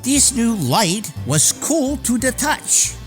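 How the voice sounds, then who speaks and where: Male actor as proud immigrant inventor, earnest, formal radio drama narration, animated pitch, music underneath. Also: takes it slowly, heavily acted. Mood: earnest narrating. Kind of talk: radio theater scripted narration.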